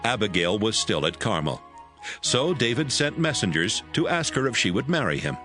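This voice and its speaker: A man narrating a scripted audio Bible as a story, in a measured storytelling manner. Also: lowish voice, very animated, pushed and bright, soft background music.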